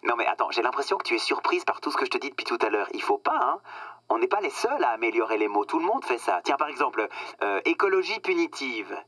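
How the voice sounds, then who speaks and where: An actor playing a smug, cynical corporate boss in a satirical radio drama, slick and condescending, coaching with emphatic pauses. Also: male voice, mid-range voice, sing-song, fairly bright tone, dry recording. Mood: grandiose, sly.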